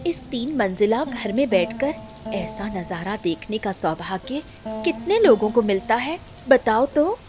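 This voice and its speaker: Woman playing slow, animated, caring village homemaker. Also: on the high side, music in the background. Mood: admiring. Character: gentle, admiring family women, caring and cheerful. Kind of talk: cartoon story dialogue.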